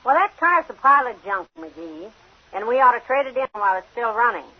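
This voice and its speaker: An actress playing a practical, long-suffering wife with a dry, teasing wit in an old-time radio comedy. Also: female voice, a little high, lively intonation, a little fast. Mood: fed up. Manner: dryly sarcastic, long-suffering. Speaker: comic partner in a radio sitcom. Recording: dull vintage radio recording.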